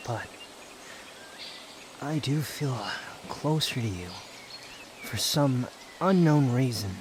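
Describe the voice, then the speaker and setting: Slow-talking aloof young wanderer, acted by a man. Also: mid-range voice, expressive, noisy background. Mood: brooding, guarded. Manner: slow, brooding, haughty delivery with sighs and pauses. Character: aloof, proud young male wanderer, guarded yet teasing and secretly fond. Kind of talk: intimate roleplay ASMR audio drama. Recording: outdoor nature ambience with insects. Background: insect and nature ambience.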